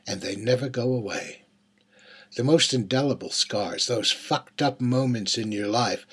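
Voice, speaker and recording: A man performing a dramatic monologue as a bitter, darkly joking young man of twenty-one, with a husky voice and folksy, colloquial asides. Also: mid-range voice. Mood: reflective, haunted.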